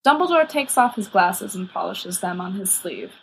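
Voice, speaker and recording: A woman's voice: mid-range voice, lively intonation, normal speed, creaky. Woman narrating fiction, slightly noisy recording. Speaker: audiobook reader. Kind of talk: scripted narration.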